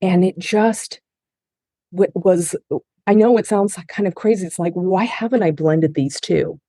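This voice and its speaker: A woman's voice: fairly low pitch, a little slow, warm tone, dry recording, studio quality. A middle-aged woman who grew up in Japan and speaks English as a second language, telling her life story earnestly as a guest in an interview. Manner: earnest and heartfelt, with frequent restarts. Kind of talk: personal testimony in a podcast interview.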